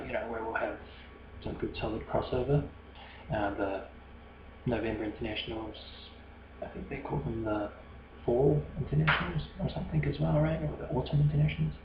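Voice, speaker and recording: Casting: New Zealand male podcast host, low monotone, hesitant rambler, muffled roomy recording with steady noise. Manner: hesitant, many fillers and restarts. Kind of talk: rambling solo podcast monologue.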